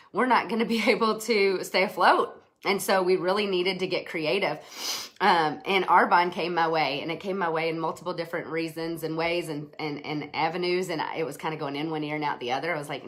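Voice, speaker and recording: A woman in her thirties with a slight head cold, giving an earnest, chatty business pitch on a live stream, with many fillers and restarts. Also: a bit bright. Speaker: network marketing presenter.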